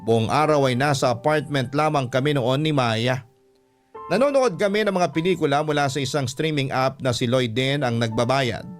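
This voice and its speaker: A man's voice: mid-range voice, moderate pace, soft background music. A man with a Filipino accent reading a scripted first-person story as a radio-style storyteller.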